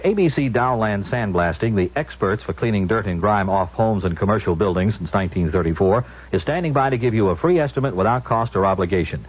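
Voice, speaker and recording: A man's voice: fairly low pitch, slightly rough. A man reading a scripted radio advertisement as a commercial announcer. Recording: muffled old radio recording.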